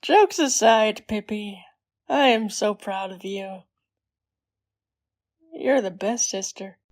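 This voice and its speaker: A woman's voice: medium pitch, takes it slowly, natural voice. A woman playing a proud, loyal pony, a sincere older-sisterly figure, in a cartoon comic dub. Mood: warm, proud. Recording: faint music behind.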